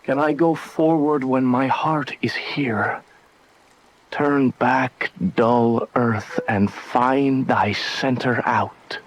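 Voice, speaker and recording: Lovestruck young gentleman, unhurried. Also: mid-range voice, steady tone, low-quality recording. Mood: longing. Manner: reverent, poetic delivery. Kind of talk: Shakespearean radio drama acting.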